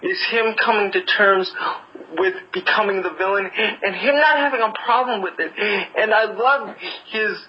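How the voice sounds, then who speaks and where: A man's voice: high voice, unhurried, slight background noise. A man leaving an eager, gushing fan voicemail, repeating himself as he praises things. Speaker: voicemail caller. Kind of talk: enthusiastic fan review. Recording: dull lo-fi voicemail audio.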